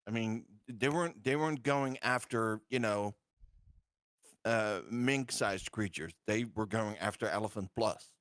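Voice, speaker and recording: Young male player, lowish voice, dry close sound, halting questions. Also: fairly bright tone, dry recording. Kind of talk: casual chat around a tabletop game.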